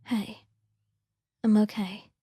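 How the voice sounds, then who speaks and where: A woman voicing a gentle, reassuring companion in a slow, breathy, soothing ASMR roleplay whisper. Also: mid-range voice, flat delivery. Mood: gentle reassurance.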